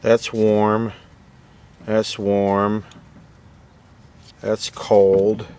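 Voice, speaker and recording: A man giving casual, off-the-cuff narration of a repair job, halting as he thinks aloud. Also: lowish voice, completely flat delivery, dark tone. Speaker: host, vintage radio repairer. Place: beside an old console radio being worked on.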